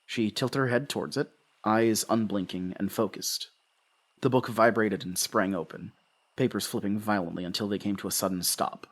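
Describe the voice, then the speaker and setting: An actor playing a haunted, guilt-ridden husband and father, narrating a horror audio drama in a halting, anguished way. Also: fairly low pitch, steady tone, natural voice. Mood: dazed, subdued. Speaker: narrator and lead character.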